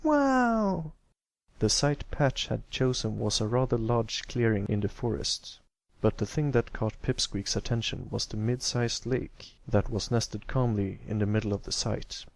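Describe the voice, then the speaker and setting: A man's voice: fairly low pitch, steady tone, moderate pace, creaky, no echo. A man reading a story aloud as an amateur narrator, in scripted storytelling style. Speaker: amateur fan fiction narrator.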